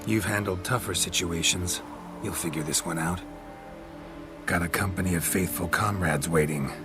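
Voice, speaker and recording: Dude doing a gruff stoic monster slayer, game cutscene, super low voice, few words, music under it. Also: male voice, moderate pace, natural voice. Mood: steady, reassuring. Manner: terse, clipped, understated phrasing. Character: stoic, gruff, loyal male monster hunter. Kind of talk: video game cutscene acting.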